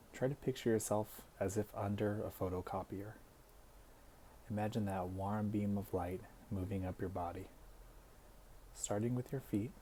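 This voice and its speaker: A man leading a guided body scan meditation as an instructor, speaking in a gentle, soothing way with deliberate pauses. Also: sits low, a little hiss or hum, rough recording.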